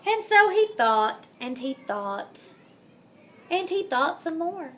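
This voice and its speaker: A woman reads a children's story in a high, very lively voice. She takes her time, delivering each phrase dramatically and lingering over rhymes and playful words. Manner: dramatic, deliberate, savors rhymes and wordplay. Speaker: storybook reader. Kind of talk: expressive children's picture-book read-aloud.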